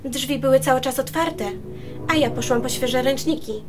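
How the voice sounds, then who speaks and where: A gossipy, eager-to-please maid, acted by a woman in a radio drama, with a high voice. She is effusive and deferential, and music plays clearly behind her. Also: moderate pace, natural voice. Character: chatty, gossipy unmarried hotel-style maid, eager to please. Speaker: amateur radio drama actor. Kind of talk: radio play acting.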